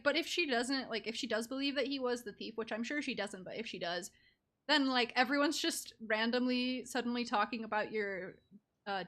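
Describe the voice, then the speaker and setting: A young woman streams a game with casual, rambling commentary. Her voice is fairly high and sharply bright, with giggly asides.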